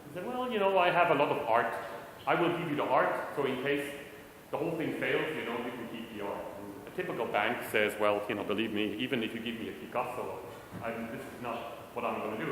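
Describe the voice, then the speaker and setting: A man's voice: medium pitch, fairly bright tone. Middle-aged man speaking in an echoey hall. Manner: conversational, self-deprecating, chuckles often. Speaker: conference speaker. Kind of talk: conference talk. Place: large hall. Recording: echoey hall, faint room noise.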